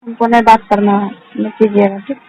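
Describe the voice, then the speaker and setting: A young woman speaks over a recorded phone call with little room sound. Her voice sits at an ordinary pitch, and she is curt and refusing, as in a private argument. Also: flat delivery, fairly quick, mellow, husky, no echo.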